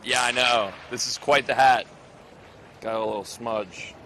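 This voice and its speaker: A man co-hosting a casual livestream, loud and joking. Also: medium pitch, fairly even intonation, measured pace, noisy background. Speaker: co-host.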